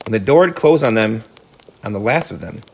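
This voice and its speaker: A man's voice: on the low side, lively intonation, fairly quick, husky, rough recording. A man narrating a children's storybook as a teacher reading aloud.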